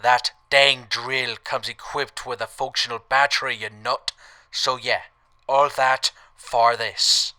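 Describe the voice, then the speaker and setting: A man's voice: mid-range voice, steady tone, slow pace, bright, forceful tone. A man playing a grumpy aging shopkeeper in a post-apocalyptic radio drama, sardonic and curt. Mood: irritated, threatening. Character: gruff, grumpy aging male wasteland shopkeeper.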